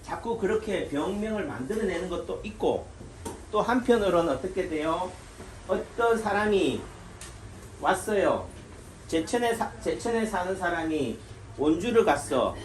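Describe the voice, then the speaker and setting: A man's voice: high voice, expressive, measured pace, a little hiss or hum. A middle-aged man from the Gyeongsang region giving an informal health lecture as a Korean medicine doctor, asking rhetorical questions and answering them himself. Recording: echoey room.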